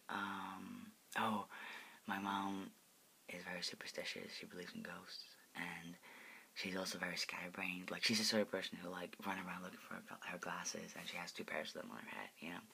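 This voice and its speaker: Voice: sits low, normal speed, vocal fry, pushed and bright, a little hiss or hum. A person in their late twenties whispering a casual, profane chat from a parent's house, full of "like" fillers. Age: 20s. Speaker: video blogger answering viewer requests.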